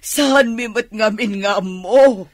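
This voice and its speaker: A man's voice: high voice, slow, no room sound, studio quality. An actor playing an agitated, scolding older man in a radio drama. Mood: alarmed, scolding.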